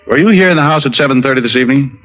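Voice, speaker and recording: An actor playing a cool, sardonic private detective in an old radio noir drama, smooth-talking with wry deadpan quips. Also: male voice, medium pitch, racing, natural voice. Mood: businesslike. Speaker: lead actor and first-person narrator. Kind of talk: 1940s-50s radio noir acting. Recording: dull vintage radio recording, music behind.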